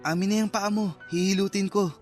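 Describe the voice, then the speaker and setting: High-voiced, apologetic young man played by an actor. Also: steady tone, fairly quick, a little mellow, lightly acted. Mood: concerned, apologetic. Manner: apologetic, earnest. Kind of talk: Filipino radio drama acting. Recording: music bed behind the voice.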